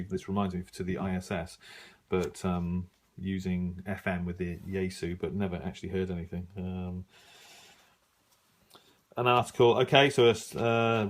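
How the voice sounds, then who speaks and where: A middle-aged man giving a casual, unscripted review, musing and halting as he thinks aloud while browsing. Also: low voice. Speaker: radio hobbyist reviewing an old magazine.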